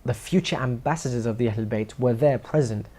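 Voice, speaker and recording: A man speaks in an earnest, explanatory way, often restarting his sentences. His tone is dark and mellow, and he sits at an ordinary middle pitch. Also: fairly quick. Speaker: reciter on tour. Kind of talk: reflective interview commentary.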